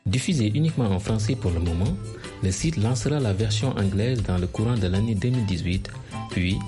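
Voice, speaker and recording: A male reporter narrates in a low, mellow voice. Faint music sits behind the scripted report. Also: animated, a little fast. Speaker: radio reporter.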